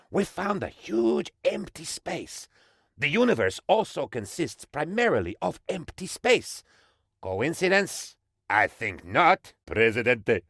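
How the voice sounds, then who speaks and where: A pompous, naively enthusiastic henchman who adores his dictator. His mid-pitched voice swings theatrically up and down with eager self-importance. It is a dry, studio-close video game performance of a lovable, slow-witted flatterer. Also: dry recording. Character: excitable, pompous, dim-witted sycophantic male aide to a dictator. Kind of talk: video game character voice lines.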